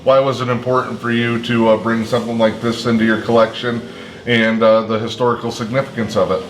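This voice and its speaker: A man hosting a casual local interview, with halting, hesitant phrasing. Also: mid-range voice, flat delivery, slight background noise. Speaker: host and interviewer. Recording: roomy echo, music underneath.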